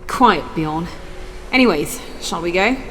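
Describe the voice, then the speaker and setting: A woman voicing an inquisitive, brisk female vampire clan follower in a scripted fantasy drama. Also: fairly low pitch, lively intonation, a bit bright. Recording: long reverb, faint background noise.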